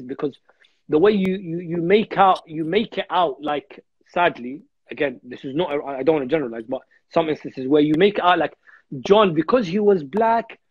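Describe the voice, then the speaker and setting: A man's voice: a little high, breathy edge, dry recording, lo-fi sound. A man in his thirties from Iraq, a guest speaker in a religious discussion on a video call, earnest and repeating words as he thinks. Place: home room on a video call.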